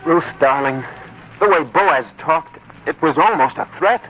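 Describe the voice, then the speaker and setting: An actor playing a worried, respectful young farm worker about to marry, earnest in a 1940s radio drama manner. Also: male voice, on the high side, expressive, a little slow. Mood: anxious. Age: young adult. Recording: muffled, noisy 1940s radio recording.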